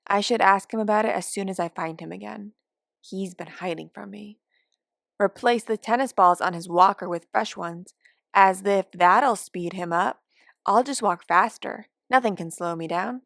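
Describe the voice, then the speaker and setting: Here is a woman performing a forgetful elderly woman in a care home, telling her own short story. She grumbles and rambles with wry indignation. Her pitch is middling for a woman, and the sound is dry, with no room to it. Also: normal speed, creaky, fairly bright tone, dry recording. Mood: rambling, wry. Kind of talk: first-person dramatic monologue of short fiction.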